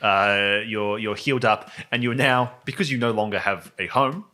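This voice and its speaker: A man chatting and improvising narration as a game master, in a halting, descriptive way. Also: on the low side, pushed and bright. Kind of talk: improvised narration and casual chat among friends. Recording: music behind the voice.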